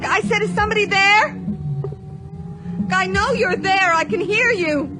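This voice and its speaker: Radio horror: terrified lost woman, very high pitch, panicky. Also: unhurried, background noise. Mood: frightened. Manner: panicked, calling out. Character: frightened lost woman calling out in snow. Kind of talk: radio horror drama acting.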